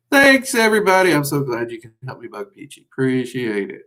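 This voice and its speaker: A man's voice: on the high side, wildly swooping pitch, unhurried, fairly bright tone. Folksy Southern man rambling on a livestream. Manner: rambling and folksy, frequent fillers. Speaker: livestream host teaching magic. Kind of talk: casual livestream chat, answering viewers.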